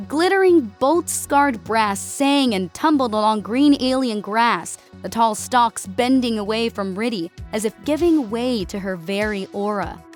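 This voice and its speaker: A woman giving a dramatic scripted narration of an action story as an audiobook narrator. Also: fairly high pitch.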